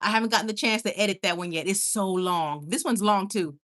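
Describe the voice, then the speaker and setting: A young woman with a Caribbean Spanish accent talks straight to her live chat, bubbly and quick to squeal with excitement. Her voice is bright and forward. She sounds at home and at ease. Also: mid-range voice. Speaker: livestream host. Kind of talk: casual live chat reading viewer comments.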